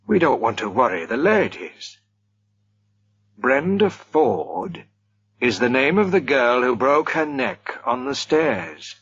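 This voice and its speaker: A man's voice: medium pitch, sing-song, takes it slowly, fairly bright tone, breathy edge, muffled recording, voice pushed up, heavily acted. A man voicing a stern middle-aged host who speaks firmly and reproachfully.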